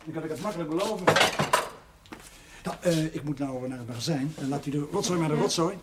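An actress playing a practical, outspoken helper of a chaplain in a television drama, talking in a direct, probing way. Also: female voice, sits low, brisk, close to an everyday voice. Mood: suspicious, insistent. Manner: direct, probing, matter-of-fact. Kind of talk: naturalistic television drama acting. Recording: very noisy TV soundtrack, clattering crockery.

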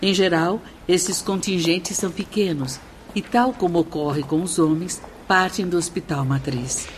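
Actress as female academy instructor, lower pitch, matter-of-fact, informative, radio drama, slight background noise. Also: a little slow, close to an everyday voice. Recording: faint ambient noise behind.

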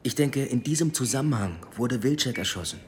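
An actor playing a guarded, ambitious police inspector in 1920s Berlin, measured and businesslike, in a naturalistic radio drama style. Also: male voice, medium pitch, lively intonation, slight background noise, close to an everyday voice. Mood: guarded, reporting.

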